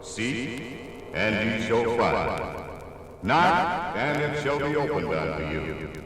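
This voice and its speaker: An actor playing a solemn scripture reader, measured and emphatic, in 1950s radio drama style. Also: male voice, lowish voice, big pitch swings, a bit bright, full character voice. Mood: reverent. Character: solemn male scripture reader proclaiming biblical promises.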